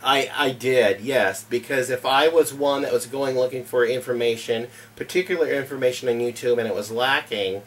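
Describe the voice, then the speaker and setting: A man's voice: medium pitch, normal speed, few pauses, fairly bright tone, distant mic. A middle-aged man who hosts a homesteading channel, answering interview questions in an earnest, plain manner with frequent "uh" fillers, seated in a home library. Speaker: homesteading YouTube channel host. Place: home library with bookshelves and fireplace.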